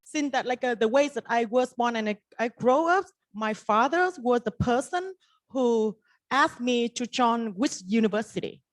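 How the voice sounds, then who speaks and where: A woman's voice: fairly high pitch, lively intonation, moderate pace, dry recording. A woman from Vietnam with a Vietnamese accent, a native Vietnamese speaker, telling her personal story as a panelist. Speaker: investment firm partner on a panel.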